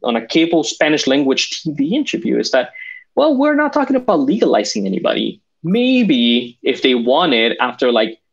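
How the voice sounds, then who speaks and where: A man's voice: medium pitch, sing-song, normal speed, creaky. Man from Venezuela, dull lo-fi sound. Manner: passionate, many restarts and fillers. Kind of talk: political discussion.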